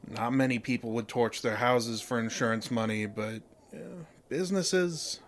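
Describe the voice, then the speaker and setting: An actor playing a casual, quietly suspicious police investigator in an audio drama, questioning in an offhand, hesitant way. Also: male voice, mid-range voice, unhurried, a little hiss or hum, lightly acted. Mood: probing, casual.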